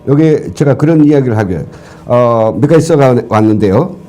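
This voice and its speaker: A man's voice: mid-range voice, expressive, moderate pace, dark tone. A man from Korea, a former professor giving earnest, lecture-like explanations as a talk show guest. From North Korea.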